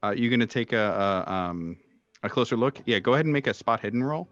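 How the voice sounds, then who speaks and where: A man narrating an improvised tabletop horror game as game master, feeling his way haltingly through descriptions. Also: mid-range voice, expressive, no room sound, lo-fi sound.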